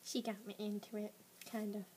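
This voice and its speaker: A teenage girl teasing her friend beside a laptop webcam at night, often speaking in a hushed whisper. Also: mid-range voice, little pitch movement, normal speed, a little hiss or hum. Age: teens. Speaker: friend joining the vlog. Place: small blanket fort indoors at night. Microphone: laptop webcam, seated beside.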